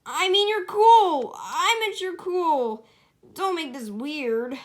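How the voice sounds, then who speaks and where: A woman's voice: extremely high pitch, lively intonation, takes it slowly, voice pushed up, exaggerated voice. A woman voicing a grumpy, blunt, easily flustered male hedgehog in an amateur comic dub storybook reading, exasperated and emphatic.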